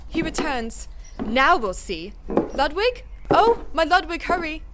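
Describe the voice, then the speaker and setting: Woman playing a high-pitched imperious queen mother. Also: sing-song, slow pace, heavy background noise, exaggerated voice. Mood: alarmed, scornful. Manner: imperious, theatrical, emphatic repetitions. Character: haughty, scolding older queen mother of a medieval court. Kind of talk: audio drama acting. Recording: music under the voice.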